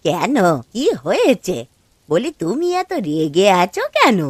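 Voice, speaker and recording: A woman's voice: medium pitch, huge pitch swings, takes it slowly, breathy edge, dry recording, clean studio sound, heavily acted. A woman voicing a concerned, plain-spoken village wife, fussing and soothing, in a cartoon. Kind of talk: cartoon dialogue acting.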